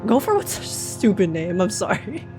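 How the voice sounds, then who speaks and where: A young woman with a mid-range voice reacts to a video game in a casual, slangy way. She is animated and repeats phrases for emphasis, over faint game music. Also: medium pitch, normal speed. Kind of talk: casual excited live commentary.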